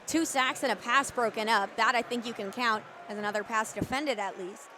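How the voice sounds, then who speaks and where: A woman's voice: on the high side, brisk, pushed and bright. A young woman giving live color commentary on an American football game. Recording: live stadium booth with background noise. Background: stadium ambience.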